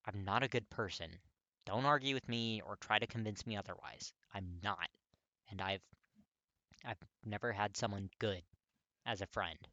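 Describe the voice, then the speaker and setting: A man voicing a gruff, hot-tempered young man awkwardly confessing his feelings in an audiobook, blunt, halting and strained. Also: on the low side, pushed and bright, no echo, lo-fi sound. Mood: hesitant confession. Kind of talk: dramatic audiobook character reading.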